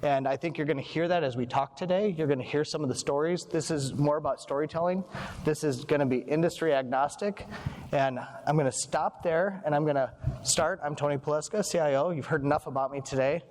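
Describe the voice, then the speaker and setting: A man's voice: a little high, fairly quick, darkish tone. Male moderator in a reverberant hall. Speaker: panel moderator. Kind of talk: casual event moderating. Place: event room before an audience. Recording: reverberant hall, faint background noise.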